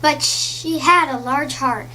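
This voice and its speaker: A woman voicing a gentle, wistful, thoughtful spirit of Christmas past in a storybook, with a light voice. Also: high-pitched, takes it slowly, heavily acted. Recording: clearly noisy audiobook recording.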